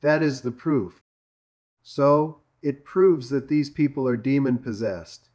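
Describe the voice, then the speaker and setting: A male preacher from Greece delivers a sermon with a voice of middling pitch. He presses his points by repeating them and asking question after question. The recording sounds dull and lo-fi. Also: slow, a little mellow. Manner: emphatic, repetitive, with rhetorical questions.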